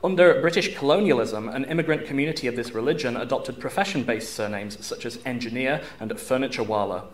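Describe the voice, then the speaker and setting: A man's voice: medium pitch, brisk. A man reading quiz questions formally as quizmaster, enunciating carefully in a live room. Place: university room at a quiz tournament. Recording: live room with background noise.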